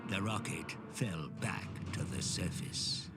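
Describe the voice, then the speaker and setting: A man voicing a grim, ominous narrator of dark fantasy game cutscenes, gravelly and theatrical, with breathy phrase endings. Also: sits low, expressive. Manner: slow, theatrical, portentous. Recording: loud music under the voice.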